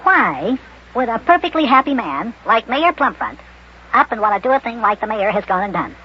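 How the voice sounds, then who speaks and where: A man voicing an excitable, stubborn little boy in an old-time radio children's drama, blurting out indignant protests. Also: high voice, normal speed, breathy edge, voice pushed up, full character voice. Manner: blurting, indignant, stammering when flustered. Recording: muffled vintage radio recording.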